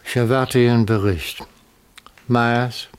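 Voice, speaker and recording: An actor playing a genteel, coldly commanding syndicate chief with a formal, terse manner in a German radio crime drama. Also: male voice, mid-range voice, steady tone, slow pace, clean tone, natural voice. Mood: cool, commanding.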